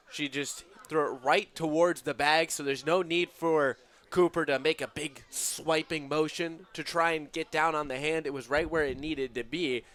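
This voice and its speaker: A man giving conversational softball analysis as a radio color commentator. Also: a little high.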